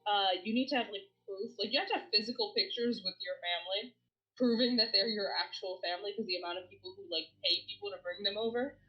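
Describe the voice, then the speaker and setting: A woman from Bangladesh, a streamer guest chatting casually in an interview, animated and laughing often. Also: mid-range voice, fast talker, dull audio.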